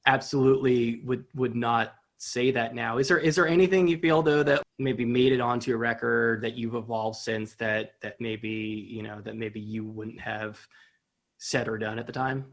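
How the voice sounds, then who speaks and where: A middle-aged man hosting a casual interview, with halting, tentative, roundabout phrasing. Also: medium pitch, fairly even intonation, a bit bright. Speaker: podcast host, interviewer.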